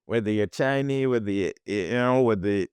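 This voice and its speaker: A man's voice: lowish voice, normal speed, darkish tone, smooth, no room sound. A man from Jamaica, a guest on a podcast, talking in a reflective way with frequent restarts. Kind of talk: conversational interview.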